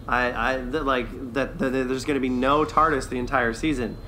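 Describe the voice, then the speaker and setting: Bright-voiced enthusiastic man co-host, noisy recording. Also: mid-range voice, steady tone, moderate pace. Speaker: podcast co-host. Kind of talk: casual review chat. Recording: clear background noise.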